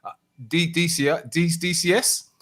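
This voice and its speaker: A man's voice: on the high side, little pitch movement, moderate pace, fairly bright tone. A man co-hosting a live podcast, joking and stumbling over names as he introduces guests. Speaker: podcast co-host.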